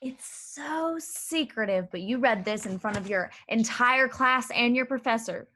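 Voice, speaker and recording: A woman's voice: on the high side, a little slow, bright, forceful tone, a little hiss or hum. A woman playing a defensive, earnest young college roommate in a staged reading, with a faltering, pleading way of talking. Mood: hurt, accusing. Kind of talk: live staged reading of a play over video call. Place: online video call staged reading.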